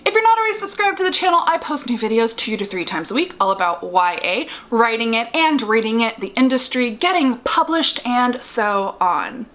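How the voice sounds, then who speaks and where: A woman's voice: a little high, very animated, moderate pace, hardly any pauses, a bit bright. A woman vlogger giving opinionated advice to her viewers in an informal, wry, emphatic way, stressing key words. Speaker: YouTube host, writing advice vlogger. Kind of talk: opinionated advice vlog to camera.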